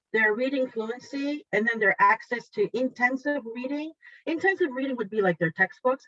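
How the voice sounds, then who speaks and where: A woman's voice: fairly high pitch, a bit bright, no echo. A woman whose first language is Japanese, presenting a teacher workshop online in a chatty, encouraging way and guiding the audience step by step. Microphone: video call. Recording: dull lo-fi video call audio.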